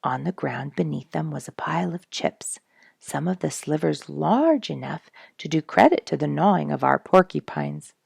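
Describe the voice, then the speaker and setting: A woman reading a nature storybook aloud in an expressive, measured, dramatic storytelling manner. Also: deep voice, gravelly, husky, no room sound, studio quality. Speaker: audiobook reader and show host.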